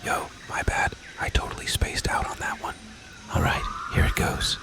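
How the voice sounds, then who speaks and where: A man's voice: moderate pace, natural voice. A man voicing Chad, a cocky young male gym bro with slangy, boastful talk and a whispery voice.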